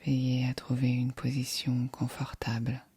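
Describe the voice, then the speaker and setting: A woman guiding a yoga nidra meditation in a soft, breathy, whispery voice, calm and soothing. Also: a bass voice, dry recording. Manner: calm, soothing, measured delivery. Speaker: meditation guide.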